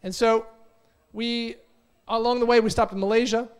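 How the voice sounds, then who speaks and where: High-voiced American man telling stories. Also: normal speed, smooth. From United States. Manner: energetic storytelling, repeats words. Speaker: conference speaker. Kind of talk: motivational talk. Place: conference hall.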